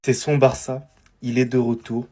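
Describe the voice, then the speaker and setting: A man's voice: mid-range voice, little pitch movement, moderate pace, warm tone, soft background music. A man giving blunt, outspoken, critical football commentary in a casual video. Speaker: football YouTuber.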